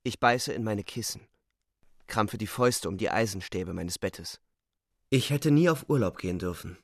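Low, gravelly young soldier, weary and haunted. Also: male voice, moderate pace, no echo, clean studio sound. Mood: bleak, weary. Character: Paul, young German soldier narrator, weary, anxious, guilt-ridden. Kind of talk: radio drama narration, inward and literary.

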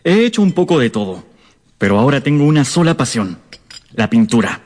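A man's voice: medium pitch, big pitch swings, a little fast, darkish tone, big-room sound. A man acting in a radio drama as a confident, hearty and sensual painter of peasant stock. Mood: smooth, self-assured.

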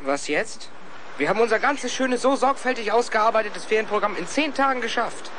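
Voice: mid-range voice, lively intonation, brisk, lightly acted. A young performer playing a confident, teasing boy who leads a gang of children in a 1970s radio play. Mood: easygoing, teasing. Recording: noisy old vinyl, outdoor sound effects.

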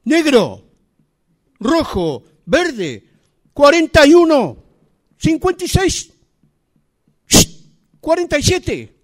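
A man performs a declaiming exercise. His voice sits high and moves around a great deal. The delivery is emphatic, broken by long pauses. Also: sing-song. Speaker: radio drama workshop participant. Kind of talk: acting exercise, declaiming numbers and colours.

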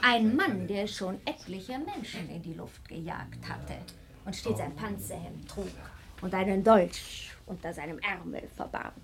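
An actress playing a witty, capricious English society hostess in a German radio play, chattering theatrically and teasingly. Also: female voice, medium pitch, lively intonation, unhurried, gravelly, crowd noise. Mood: amused, mock regretful.

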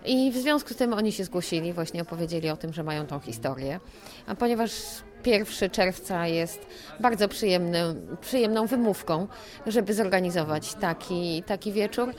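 A woman's voice: on the low side, animated, normal speed, soft background music. A woman who runs an event venue, answering casually in an interview, chatty and repeating her words. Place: cellar event space.

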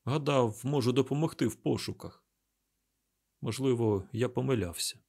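A man's voice: mid-range voice, normal speed, gravelly, a little mellow, slightly rough. Man playing a troubled, reluctant young hero. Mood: resigned. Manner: earnest, hesitant, burdened.

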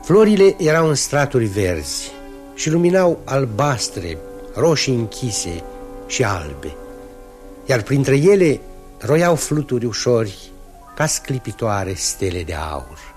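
A man's voice: medium pitch, lightly acted. A man narrating a fairy tale in a 1960s radio drama, lyrical and storytelling. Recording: old radio studio tape with soft music.

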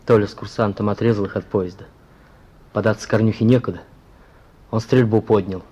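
An actor playing a plain-spoken, grim policeman with a husky voice, reporting colloquially in a Russian radio play. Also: lowish voice, fairly even intonation, fairly quick, mellow. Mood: grim, bitter. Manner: colloquial, matter-of-fact, grim.

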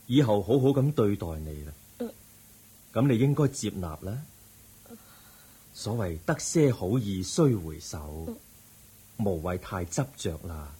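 A compassionate Buddha from a Cantonese radio play, speaking Hong Kong Cantonese. The voice is very husky and mellow, with lively rises and falls in a solemn, measured sermon. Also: male voice, lowish voice, full character voice. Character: calm, compassionate male Buddha counselling an aggrieved believer.

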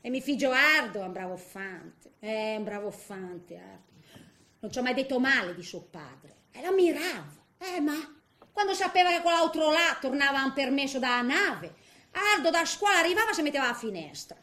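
A woman's voice: high-pitched, sing-song, normal speed, pushed and bright, full character voice. An actress playing a feisty, bitter, garrulous widow in her eighties in a theatrical comic monologue, grumbling colloquially in with little interjections. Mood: bitter, resigned, then tender, sad. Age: 80s.

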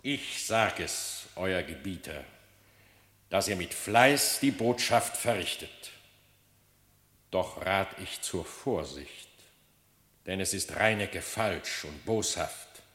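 An actor playing a stern animal king in a radio play, speaking with solemn, deliberate authority. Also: low-pitched, lively intonation, fairly bright tone, exaggerated voice. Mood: stern, cautioning. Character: stern animal king warning his envoy. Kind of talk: classic German radio play acting. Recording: echoey, reverberant hall.

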